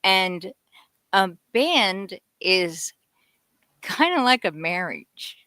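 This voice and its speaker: A woman hosting a radio interview, chatty and quick to laugh, asking long rambling questions. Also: mid-range voice, big pitch swings, bright, forceful tone, clean tone, no echo. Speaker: radio show host. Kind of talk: casual interview chat.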